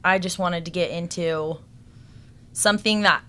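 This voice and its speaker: American woman chatting on a podcast, clear bright voice, sits kinda low. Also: fairly even intonation, a little slow. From Salt Lake City area, United States. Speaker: podcast guest, personal trainer and coach. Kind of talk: casual chat.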